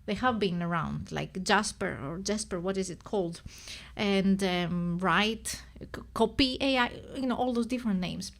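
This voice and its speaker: A woman's voice: mid-range voice, normal speed, creaky, hardly any pauses. Greek woman rambling on a live stream. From Greece. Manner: rambling, self-correcting, thinking aloud. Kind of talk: casual live-stream chat.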